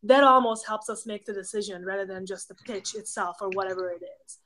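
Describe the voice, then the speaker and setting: A woman's voice: mid-range voice, fast, sharp tone, low-quality recording. A woman in her thirties speaks with a Hungarian accent, explaining ideas patiently and listing one example after another. She talks over a webcam on a video call from a book-lined home office. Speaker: professor of pastoral theology, guest. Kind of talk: casual interview conversation.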